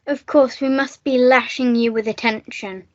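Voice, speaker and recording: A flamboyant, high-voiced upper-class English schoolgirl as a woman acts her in a radio melodrama. She is breezy and theatrical, fond of her own drama. The sound is a little dull and lo-fi. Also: steady tone, moderate pace, close to an everyday voice. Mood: gushing, eager. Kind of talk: comic melodrama radio play. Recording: dull lo-fi recording.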